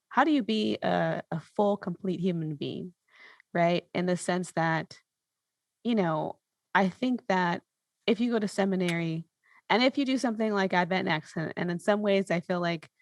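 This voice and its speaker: A woman's voice: on the low side, no room sound. A woman speaking reflectively in a conversational interview, searching for her phrasing and often checking in with "right?". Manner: thoughtful, hesitant, searching phrasing with rhetorical "right?".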